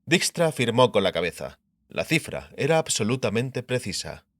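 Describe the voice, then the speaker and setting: A man's voice: mid-range voice, very animated, moderate pace, no room sound, clean studio sound. A man reading scripted fantasy narration as the narrator of an audiobook.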